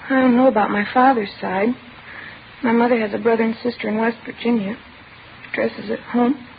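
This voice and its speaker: A thin, faintly hissy old radio crime drama recording carries a troubled teenage girl. Her voice is fairly high with a marked creak, and she answers in short, halting, bitter phrases. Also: fairly even intonation. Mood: distraught. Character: distraught, bitter teenage daughter of a murdered woman. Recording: tinny, faintly noisy old radio broadcast.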